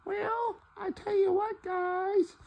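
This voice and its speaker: A woman's voice: very high-pitched, steady tone, slow, creaky, highs cut off, a little hiss or hum. A woman puppeteer playfully improvising a puppet show in casual talk.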